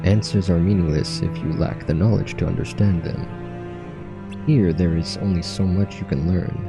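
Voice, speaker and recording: Guy doing a stern mysterious old man from a video game, low and solemn, music behind. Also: steady tone, moderate pace. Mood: solemn, cryptic. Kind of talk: reading game dialogue aloud.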